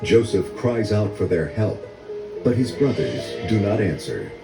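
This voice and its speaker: An older man narrates a children's story with measured drama. His voice is low and sweeps widely in pitch, with music clearly playing underneath in a roomy echo. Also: sing-song. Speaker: read-along story narrator. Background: orchestral music.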